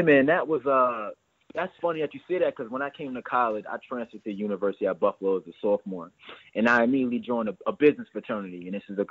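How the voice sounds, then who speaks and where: A man's voice: medium pitch, breathy edge, dry recording. A young man in his twenties from New York, a guest talking earnestly on a phone interview, often repeating his words as he goes. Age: 20s. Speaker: guest entrepreneur. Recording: dry tinny phone line.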